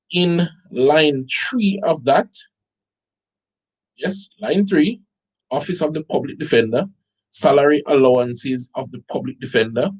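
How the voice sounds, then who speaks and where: Husky Jamaican man, animated commentary. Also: medium pitch, low-quality recording. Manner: animated, incredulous, rhetorical questions to viewers. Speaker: online show host. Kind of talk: commentary reading out a document.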